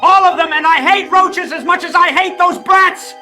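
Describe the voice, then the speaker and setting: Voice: very high voice, normal speed, sharp tone, faint music behind. An actor voicing a furious, scornful mad scientist in a cartoon comic dub, ranting with sneering sarcasm. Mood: outraged.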